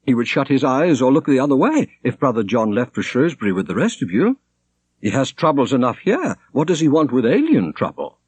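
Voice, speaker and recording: A man voicing a shrewd, kindly older monk in an audiobook, measured, thoughtful and gently wry. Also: mid-range voice, big pitch swings, slightly rough, no room sound, voice pushed up. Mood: shrewd, pondering. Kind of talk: audiobook character reading.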